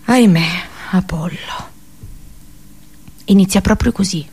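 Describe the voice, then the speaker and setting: A woman actor delivering a theatrical radio drama monologue, dramatic and halting, with deliberate pauses and repetitions. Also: medium pitch, gravelly, warm tone, husky. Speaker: actress performing a monologue.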